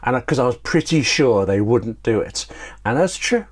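A man's voice: medium pitch, lively intonation, moderate pace. A man talking casually as an interview guest, telling anecdotes in a wry, self-deprecating way with rambling asides. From Britain.

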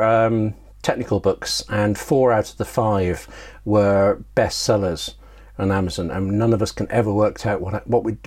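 A man talking casually as an interview guest, telling anecdotes in a wry, self-deprecating way with rambling asides. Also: fairly low pitch. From Britain.

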